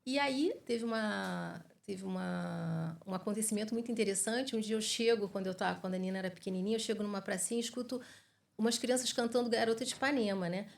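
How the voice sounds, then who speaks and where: A woman's voice: mid-range voice, quick pace, creaky. A Brazilian woman in her forties with a Brazilian Portuguese accent, a psychologist giving earnest, explanatory interview answers and often adding tag questions. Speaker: guest psychologist.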